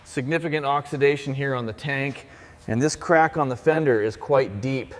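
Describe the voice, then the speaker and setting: A man's voice: mid-range voice, moderate pace. A man giving step-by-step workshop commentary as the narrator of a restoration. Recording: music behind the voice.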